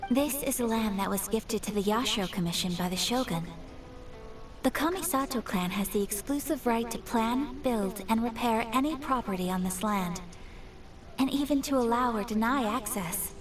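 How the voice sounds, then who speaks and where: A woman playing a gracious, earnest young noblewoman in a game, speaking in a formal, measured and refined way. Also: a little high, distant mic, close to an everyday voice. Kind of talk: scripted game dialogue.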